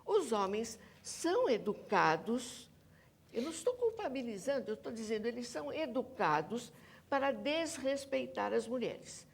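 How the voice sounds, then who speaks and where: Woman, Brazilian Portuguese accent, highish pitch, creaky fry, emphatic, repetitive, academic interview discussion. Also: sing-song, moderate pace. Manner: emphatic, insistent, repeats points. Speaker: academic guest, researcher and author.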